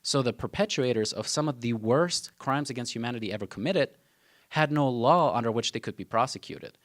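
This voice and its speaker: A man's voice: medium pitch, expressive, fairly quick. A man in his twenties, from Germany, giving a university talk with slides as a law student, with frequent "um" and "uh" fillers and casual asides. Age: 20s.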